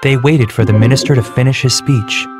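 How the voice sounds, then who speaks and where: A man's voice: medium pitch, fairly even intonation, brisk. A man reading scripted narration for a children's storybook, with music behind him. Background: background music.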